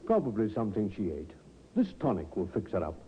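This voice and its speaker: A man playing a brisk, paternal doctor with a clipped, instructive manner in a 1950s radio drama. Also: lowish voice, wildly swooping pitch, slight background noise. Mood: brisk, reassuring. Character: brisk, paternal male family doctor, reassuring. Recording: thin, tinny old radio recording.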